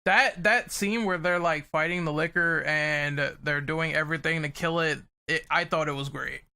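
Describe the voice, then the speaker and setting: A man hosting a casual podcast discussion, talking in an animated, opinionated and casually profane way. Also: on the high side, moderate pace, clear, resonant tone. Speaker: podcast host.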